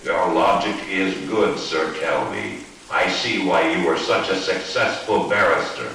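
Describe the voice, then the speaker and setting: An actor playing a cunning, courteous Devil in a horror radio play, with a formal, deliberate manner. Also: male voice, sits low, lively intonation, sharp tone, exaggerated voice. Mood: grave, courteous. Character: the Devil, stately, cunning, courteous male master of hell. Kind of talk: old-time horror radio play acting. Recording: roomy echo, distant, faint hiss.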